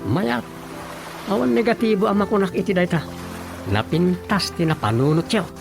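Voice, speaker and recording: A man with a Filipino accent acting an excitable, scheming villager who exclaims and mutters in a comedy radio drama. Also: sits high, moderate pace, gravelly, exaggerated voice. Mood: eager. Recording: radio drama with music underneath.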